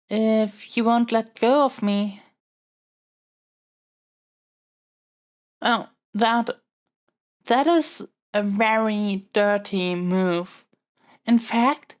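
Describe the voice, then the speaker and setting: Woman acting a slow-spoken, theatrical scheming young princess. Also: a little high, darkish tone. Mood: indignant, cajoling. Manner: theatrical, formal phrasing with halting pauses. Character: stubborn, scheming captive young princess, melodramatic and wheedling. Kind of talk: scripted solo audio roleplay.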